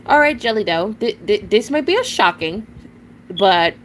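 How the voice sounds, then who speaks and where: A woman's voice: medium pitch, expressive, moderate pace, slight background noise. Chatty nineteen-year-old woman streamer. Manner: rambling, chatty, answers chat, frequent giggles and restarts. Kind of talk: casual live-stream chat.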